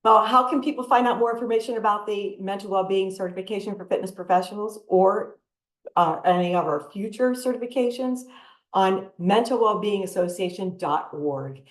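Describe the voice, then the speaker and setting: A woman leading a laughter yoga session, giving breathing cues and breaking into loud laughter often. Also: medium pitch, moderate pace, reverberant, low-quality recording. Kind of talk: guided exercise instruction. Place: video call from home. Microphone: computer mic on a video call.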